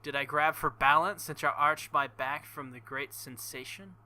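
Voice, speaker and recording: A man reading a visual novel aloud with casual commentary, halting and embarrassed, often breaking into nervous laughter. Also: fairly high pitch, sharp tone. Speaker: let's play host.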